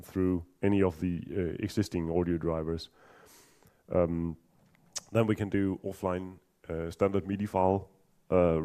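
A man's voice: sits low, mellow. A man from Denmark with a Danish accent giving a technical conference talk, hesitant with many ums and restarts and a dry humor. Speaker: conference speaker.

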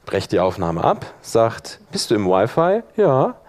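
A man's voice: lowish voice, wildly swooping pitch, measured pace, darkish tone. Man giving an informal workshop. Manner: loose, conversational, addresses listeners with questions. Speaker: workshop presenter. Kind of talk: informal live software tutorial.

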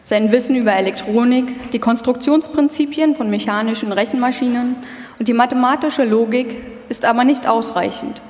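A woman's voice: on the high side, normal speed, a little mellow. A woman reading scripted documentary narration as the narrator of a live radio feature. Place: live stage performance in a hall. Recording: echoey hall, long reverb.

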